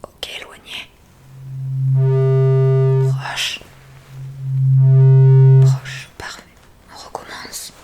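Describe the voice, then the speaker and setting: A woman whispering softly and breathily in an ASMR roleplay as a gentle, reassuring hearing examiner. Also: drawn-out words, pauses often, music in the background.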